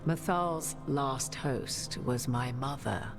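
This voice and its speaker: A woman playing a solemn human sorceress in a video game, speaking in measured, archaic, formal phrases. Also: extremely low pitch, heavily acted. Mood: solemn, reflective. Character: solemn human sorceress bearing an ancient goddess's memories. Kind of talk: dramatic video game dialogue. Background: prominent music.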